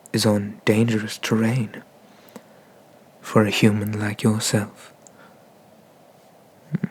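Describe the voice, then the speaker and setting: Man acting as vengeful dragon god, ASMR roleplay, very slow delivery, fairly flat intonation, disdainful, menacing, whisper-prone. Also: fairly low pitch, slightly rough. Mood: condescending, bored. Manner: disdainful, menacing, deliberate, often slipping into whispers. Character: haughty, vengeful dragon god exiled to a temple.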